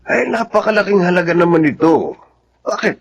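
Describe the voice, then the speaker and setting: A man's voice: high voice, expressive, brisk, muffled recording. A humble Filipino father in his fifties. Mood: reluctant, humbled. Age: 50s. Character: humble, reluctant fifty-year-old Filipino father, self-sacrificing. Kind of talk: Tagalog radio drama acting.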